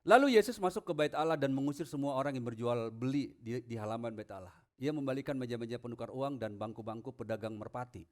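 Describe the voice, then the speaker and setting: A man preaching as a pastor, emphatic, questioning the congregation and swinging from low asides to loud proclamation. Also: quick pace, few pauses. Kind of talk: sermon with scripture readings.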